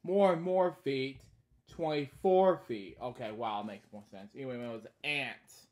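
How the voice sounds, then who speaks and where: A man in his thirties hosting a bedtime story series, reading a children's book aloud in short halting lines with casual, repetitive asides. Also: mid-range voice, a little mellow. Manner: halting, repeating stock phrases between short read lines. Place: small indoor room at home.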